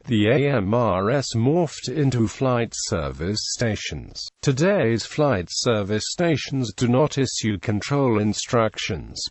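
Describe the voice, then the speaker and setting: A man's voice: medium pitch, dry recording. Man narrating in a dry, close recording. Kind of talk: scripted narration, reading an encyclopedia article.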